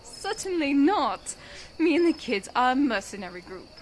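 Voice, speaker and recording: Woman doing old elf granny merc boss, folksy n wry, kinda high lively voice, crickets behind. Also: normal speed. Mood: calm, wry. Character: old elven woman mercenary leader, brisk and wry. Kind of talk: audio drama acting. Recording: clear background noise, crickets.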